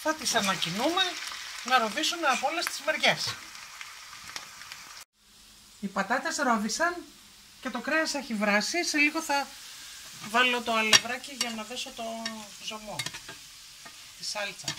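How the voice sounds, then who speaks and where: A woman's voice: fairly high pitch, animated, pauses often. Homely woman cooking at home. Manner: homely, encouraging, explains each step. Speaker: cooking show host. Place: home kitchen. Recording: kitchen noise behind voice.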